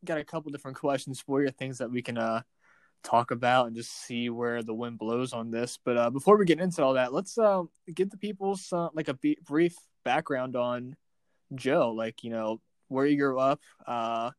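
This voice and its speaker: A man's voice: medium pitch, normal speed, no echo. A man hosting a podcast, asking questions in an informal, chatty way. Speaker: podcast host. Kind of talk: casual chat, informal interviewing.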